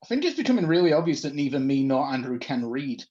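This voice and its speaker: A man's voice: mid-range voice, sing-song, quick pace, muffled recording, noisy background. A man reading fan fiction aloud for comedy, often breaking off into amused, self-mocking asides.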